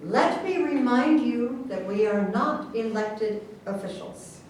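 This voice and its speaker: A woman's voice: on the high side, takes it slowly, a little mellow, far from the mic. A woman playing a composed female professor and presidential aide who chides and mediates in a staged play reading. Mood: admonishing. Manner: mediating, admonishing. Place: echoey room at a staged reading. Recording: echoey, noisy room recorded from afar.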